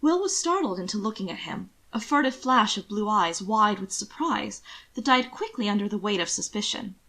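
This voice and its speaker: A woman reading scripted fiction aloud as narrator, in a measured, expressive storytelling manner. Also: mid-range voice.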